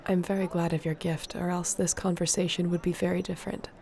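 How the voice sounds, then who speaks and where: Woman as determined fantasy sorceress, mellow and low, measured formal phrasing, earnest, intimate audio drama, faint background noise. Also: flat delivery, creaky. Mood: solemn. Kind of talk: intimate audio roleplay acting, speaking to one listener.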